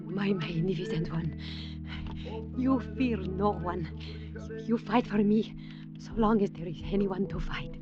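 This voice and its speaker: A woman's voice: mid-range voice, measured pace, long pauses, close to an everyday voice. An actress playing a passionate, fearless fugitive woman in a 1940s radio drama, speaking in an imperious, ornate way. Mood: admiring, intense. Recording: dull vintage radio recording with organ music.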